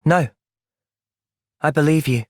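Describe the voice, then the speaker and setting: A man's voice: on the high side, takes it slowly, darkish tone, no echo, studio quality. A man playing an earnest, thoughtful young soldier hero with a British accent in a video game. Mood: gentle, reflective. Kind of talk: video game cutscene voice acting.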